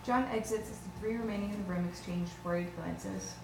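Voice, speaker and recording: A woman reads scripted stage directions at a brisk pace. She is recorded in an echoey room, with clear noise. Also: medium pitch, fairly even intonation. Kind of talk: scripted narration at table read. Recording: distant, echoey, noisy room.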